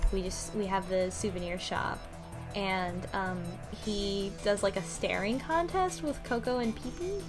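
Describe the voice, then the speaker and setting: A woman's voice: medium pitch, a little slow, music in the background. A woman co-hosting a podcast, casually recapping a game's story and acting out bits of dialogue in an informal way. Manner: informal, quotes characters in dialogue. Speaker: podcast co-host.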